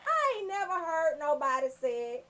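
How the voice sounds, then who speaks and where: Animated, high-pitched Atlanta woman bantering. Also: moderate pace. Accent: Southern US, Atlanta. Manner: animated, emphatic storytelling. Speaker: radio show host. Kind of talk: comedic casual radio banter.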